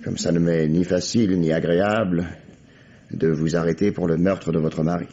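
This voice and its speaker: Deep-voiced, shrewd police commissioner. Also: male voice, steady tone, mellow. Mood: grave. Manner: deliberate, formal, ironic, theatrical pauses. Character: shrewd, world-weary male police commissioner laying out a case. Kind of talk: vintage French radio mystery acting. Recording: dull, band-limited old radio recording.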